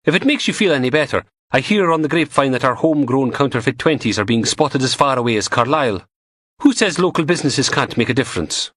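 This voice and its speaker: A man's voice: medium pitch, animated, quick pace, fairly bright tone, dry recording, voice pushed up. A man voicing a playful, teasing young goth woman working as a lab technician in an audiobook.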